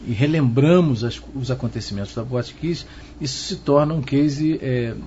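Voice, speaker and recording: A middle-aged man with a Carioca Brazilian accent, answering interview questions as an expert in a measured, didactic way, often checking that the listener follows. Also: warm tone. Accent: Carioca Brazilian Portuguese. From Rio de Janeiro, Brazil. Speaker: interviewed expert, professor of security. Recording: muffled low-fi sound, noticeable background noise.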